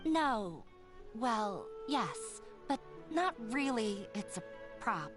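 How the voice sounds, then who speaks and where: A woman's voice: a little high, big pitch swings, very slow, full character voice. An actress playing a shy, self-doubting young woman who talks nervously and hesitantly, over game music. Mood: flustered.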